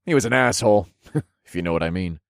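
A man's voice: low voice, quick pace, gravelly, no echo, clean studio sound. A man voicing a brash, casual fantasy hero with cheeky modern phrasing in an audiobook.

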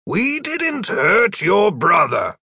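A man's voice: high voice, big pitch swings, measured pace, bright, forceful tone, slightly rough, dry recording, studio quality, pitched above the performer's own voice, full character voice. A man voicing a flustered adult male wizard who tries to sound firm while explaining himself. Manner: earnest, trying to sound firm.

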